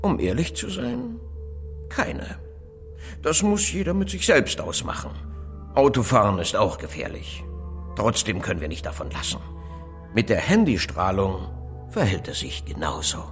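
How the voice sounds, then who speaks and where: An actor playing a self-assured, cryptic expert who lectures deliberately and emphatically in a German audio drama. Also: male voice, on the low side, wildly swooping pitch, gravelly, faint music behind, exaggerated voice. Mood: grave, conspiratorial. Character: self-assured middle-aged male expert on phone surveillance, cryptic.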